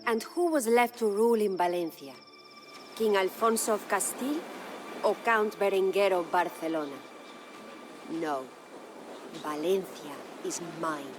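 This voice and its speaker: Woman acting proud grieving spanish noblewoman telling her tale, solemn and stately, game storyteller, loud music under her. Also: medium pitch, measured pace, long pauses, lightly acted. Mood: proud, resolute. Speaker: narrator. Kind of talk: dramatic scripted storytelling. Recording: studio narration over prominent music.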